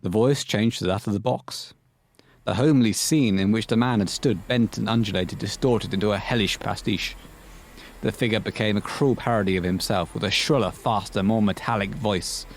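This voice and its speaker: A man's voice: on the low side, normal speed. A man reading scripted narration for a horror audio play as its storyteller.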